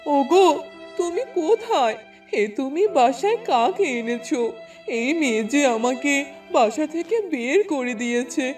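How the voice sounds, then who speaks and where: Woman acting long-suffering elder cartoon wife, high voice, plaintive, soft-hearted, faint music underneath. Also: lively intonation, a little slow, distant mic. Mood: distressed. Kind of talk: Bangla cartoon fairy-tale acting.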